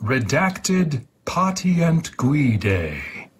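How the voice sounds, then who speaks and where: Husky man reading aloud very slowly. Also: fairly high pitch, sing-song. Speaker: host of a gaming livestream channel. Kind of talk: slow, deliberate reading aloud of an instruction leaflet.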